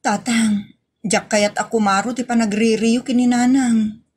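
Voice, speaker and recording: A woman's voice: fairly high pitch, little pitch movement, unhurried, darkish tone, slightly rough. A woman voicing a worried young daughter in a comedic audio drama, pleading anxiously with her father.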